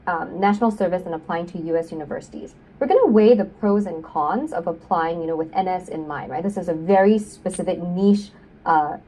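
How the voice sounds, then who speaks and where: Woman from Singapore, young adult, consultant presenting online, low voice, a bit far from the mic. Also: darkish tone. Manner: friendly, many fillers like um and you know. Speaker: admissions consultant. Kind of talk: webinar presentation.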